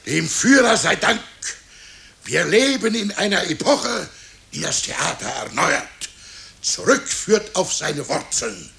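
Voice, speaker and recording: An actor in a radio drama playing a pompous, theatrical state stage actor who declaims with grand, archaic flourishes. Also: male voice, sits high, very animated, slow, creaky, pushed and bright, heavily acted. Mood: bombastic, solemn. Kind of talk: 1960 radio drama acting.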